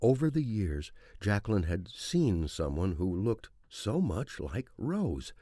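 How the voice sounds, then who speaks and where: Male audiobook narrator, dark deep tone, scripted suspense storytelling, dry studio sound. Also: very animated, takes it slowly, dry recording. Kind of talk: scripted dramatic thriller narration.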